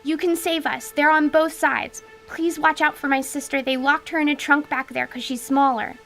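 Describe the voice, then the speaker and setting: A woman's voice: high voice, steady tone, normal speed, fairly bright tone, faint music behind. A woman voicing a frightened little girl who pleads for help in a radio drama. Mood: urgent whisper. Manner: pleading, breathless cries for help.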